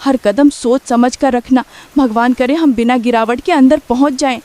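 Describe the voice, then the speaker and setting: A woman's voice: sits high, steady tone, normal speed, warm tone, no echo, close to an everyday voice. A woman playing a worried, caring poor village mother in a Hindi cartoon story, earnestly instructing and protecting her family. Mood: worried, urgent.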